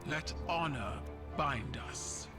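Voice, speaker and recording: An actor playing a proud, imperious alien warlord in a video game cutscene, with a solemn, ceremonial and commanding delivery over music. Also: male voice, medium pitch, slow, lightly acted. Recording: loud cinematic music behind voice.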